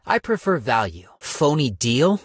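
An actor playing a money-minded, cynical male stock broker in a video game, with a wry, businesslike, politely detached manner and a husky voice. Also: mid-range voice, very animated, unhurried, no room sound, clean studio sound. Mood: cool, calculating. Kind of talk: video game character voice lines.

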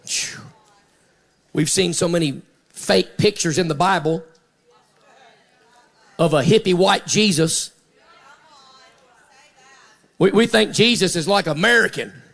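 High-voiced Southern preacher man, folksy and emphatic. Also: takes it slowly, vocal fry, long pauses, a bit bright, some chatter behind. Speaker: pastor. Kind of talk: fiery revivalist sermon. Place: large church stage, indoors. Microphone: handheld microphone.

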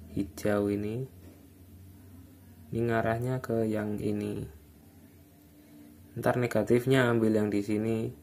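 Slow, low-voiced man. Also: warm tone. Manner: informal, unscripted, halting. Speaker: electronics repair hobbyist giving a tutorial. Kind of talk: casual hands-on tutorial narration. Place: indoors at an electronics workbench. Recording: background noise, phone or camera microphone.

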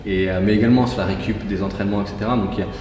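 A man's voice: on the low side, steady tone, rapid-fire, darkish tone, slight background noise. A man in his forties, a guest in a casual interview, explaining his routine methodically step by step. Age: 40s. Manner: methodical, talks it through step by step. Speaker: guest, gym entrepreneur. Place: large indoor gym hall. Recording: echoey gym hall from far off.

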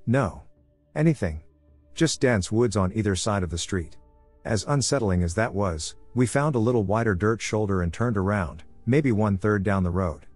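Low-voiced man narrating a creepy story. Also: darkish tone, no echo. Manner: dry, wry asides. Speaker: narrator of scary stories. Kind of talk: first-person story narration.

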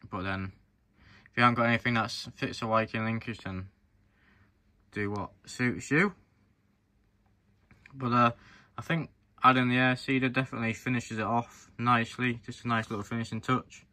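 A man's voice: medium pitch, fairly even intonation, normal speed, pushed and bright, no echo. A man presenting a hands-on review in an informal, explanatory way, talking directly to viewers. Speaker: presenter of a farm model review channel. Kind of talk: casual hands-on review narration.

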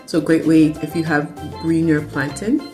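Low-voiced woman chatting through a cooking vlog. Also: normal speed, faint music behind. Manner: relaxed, chatty, with frequent ums. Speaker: author hosting a cooking video. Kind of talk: casual cooking vlog narration. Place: home kitchen.

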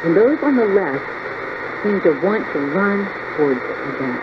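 A man's voice: sits high, sing-song, normal speed. A man delivering an emphatic, repetitive political talk monologue, heard through an AM radio speaker. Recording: hissy, dull AM radio speaker pickup. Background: loud radio static.

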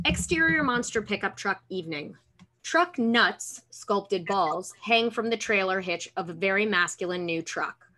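A woman's voice: mid-range voice, lively intonation, a little slow, pushed and bright. A woman narrating stage directions in a scripted table read over a video call.

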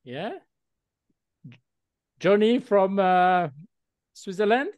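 Guy hosting a video call, kinda high voice, dry sound, lots of uhs and restarts. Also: extremely slow, darkish tone, no echo. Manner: hesitant, many fillers and restarts. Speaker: meeting co-host. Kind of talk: hosting an online video meeting.

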